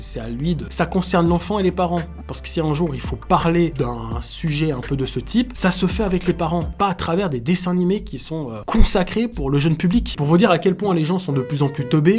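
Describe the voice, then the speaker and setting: A man's voice: fairly high pitch, darkish tone. A young man, a YouTuber giving casual, opinionated commentary in an emphatic, colloquial, exasperated way. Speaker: YouTuber and streamer.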